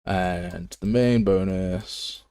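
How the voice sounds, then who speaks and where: A man with a very deep voice and an English Midlands accent, chatting casually and bluntly as he thinks aloud through a live game development tutorial. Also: drawn-out words, dark tone, clear, resonant tone, no echo.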